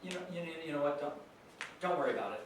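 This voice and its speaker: A man playing an earnest, anxious grandson in a staged reading, speaking haltingly and confessionally. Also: a little high, animated, close to an everyday voice. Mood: nervous. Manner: halting, repeats himself. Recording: very echoey hall, distant mic, noisy.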